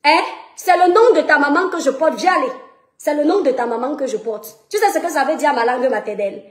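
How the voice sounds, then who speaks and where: A woman with a Cameroonian French accent and a high voice, ranting at her critics. She talks in a mocking, confrontational way and keeps firing rhetorical questions. Her voice echoes around the room. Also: quick pace. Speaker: social media personality. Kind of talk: heated livestream rant to followers. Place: France.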